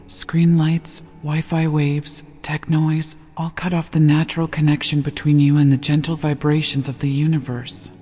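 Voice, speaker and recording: A gentle, hushed voice guiding a sleep meditation with slow, deliberate scripted narration. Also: medium pitch, husky. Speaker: meditation guide. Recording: long reverb over background music. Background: meditation music.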